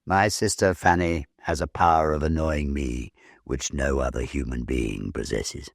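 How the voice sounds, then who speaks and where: British guy reading an old story dramatically, deep husky voice, really dry close sound. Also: a little slow, no echo. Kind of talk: expressive dramatic audiobook narration.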